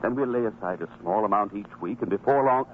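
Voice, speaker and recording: A man's voice: on the low side, quick pace, close to an everyday voice. An actor playing a troubled, stubborn fisherman father in a religious radio drama, with an emotional, emphatic, theatrical delivery. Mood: proud, fond. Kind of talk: old-time religious radio drama acting. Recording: tinny, band-limited old radio recording, noisy.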